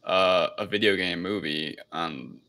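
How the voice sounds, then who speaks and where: A man with a slightly low, bright and forceful voice co-hosts a podcast. He talks in a casual, conversational way, as in a group chat. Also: measured pace. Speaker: podcast co-host.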